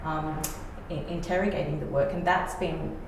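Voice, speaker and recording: A woman's voice: deep voice, fairly even intonation, normal speed, creaky. A woman, a playwright being interviewed, talking reflectively and informally about her work. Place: reverberant indoor room. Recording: very echoey room, distant mic, faint noise.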